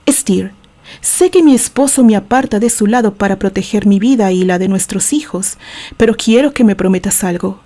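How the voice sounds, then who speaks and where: A woman's voice: medium pitch, moderate pace, dark tone, lightly acted. A Mexican woman voicing a devoted, resolute wife who speaks in an earnest, composed way in an audio romance story. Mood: earnest, pleading.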